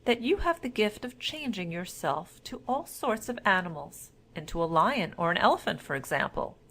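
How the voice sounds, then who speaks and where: Storybook cat, played by a female reader: cunning and boastful, formal and emphatic, flattering with an edge of threat. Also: mid-range voice, moderate pace. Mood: sly flattery.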